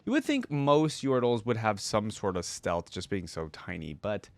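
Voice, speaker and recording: A man hosting a reaction video, chatting casually, reading aloud and thinking out loud with asides to viewers. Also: on the low side, big pitch swings, moderate pace, dry recording.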